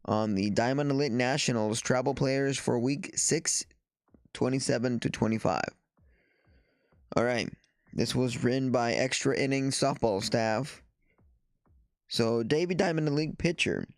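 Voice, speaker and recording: Stuffy-nosed man, halting sports chat. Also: mid-range voice, dry recording, studio quality. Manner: halting, with frequent fillers and restarts. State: stuffy nose after the flu. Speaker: softball journalist hosting a show. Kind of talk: casual commentary and reading news aloud.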